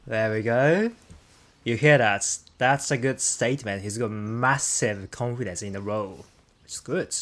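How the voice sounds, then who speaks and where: A man's voice: medium pitch, unhurried, a bit bright. A Japanese man in his thirties, a native speaker of Japanese, hosting a reaction video in casual English peppered with British slang. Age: 30s. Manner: chatty, British-style slang like mate and reckon.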